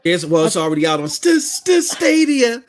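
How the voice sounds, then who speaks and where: A man's voice: high-pitched, very animated, moderate pace, soft background music. A man co-hosting a casual gaming podcast, enthusiastic and repeating words, who speaks with a stutter. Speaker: podcast co-host.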